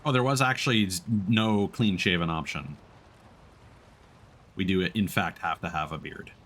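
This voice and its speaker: A man chatting casually as he live-streams a game, musing aloud in an unhurried way. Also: low-pitched, a bit bright.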